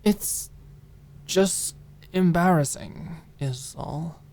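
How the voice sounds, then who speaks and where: A woman's voice: low-pitched, extremely slow, dark tone, exaggerated voice. A woman voicing a flustered, guarded young woman in an audiobook drama, hesitant and stammering as she trails off. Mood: embarrassed, evasive. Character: flustered, guarded, lovesick young woman hiding her feelings.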